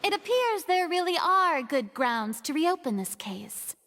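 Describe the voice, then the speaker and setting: A woman's voice: very high-pitched, big pitch swings, a little slow, a bit bright, heavily acted. An actress playing a formal, dramatic female court official in a video game. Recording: long hall reverb.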